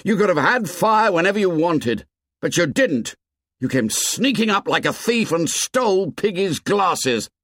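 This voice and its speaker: A man voicing a defiant, indignant young boy chief in an audiobook, loud, urgent and accusing. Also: a little high, big pitch swings, normal speed, few pauses, dry recording, studio quality, voice pushed up. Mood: furious, accusing. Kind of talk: audiobook character acting.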